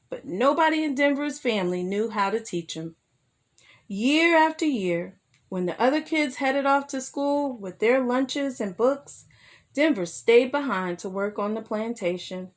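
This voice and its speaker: Female storyteller, higher-pitched, unhurried, expressive read-aloud for young children. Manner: addresses young listeners with simple questions. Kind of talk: read-aloud children's picture book narration with asides.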